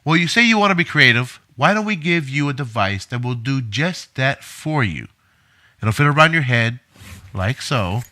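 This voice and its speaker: An actor playing a controlling, self-assured middle-aged inventor in an audio drama, speaking in a measured, instructive way. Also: male voice, medium pitch, expressive, pushed and bright, no room sound. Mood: smooth, persuasive.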